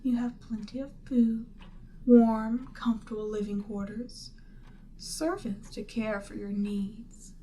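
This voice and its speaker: Woman playing a slow-talking, imperious demon queen. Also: on the high side, mellow, slight background noise, rough recording. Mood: coaxing, persuasive. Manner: slow, deliberate, theatrical, persuasive. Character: seductive, imperious demon queen trying to sway a captive. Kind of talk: roleplay audio drama, ASMR monologue.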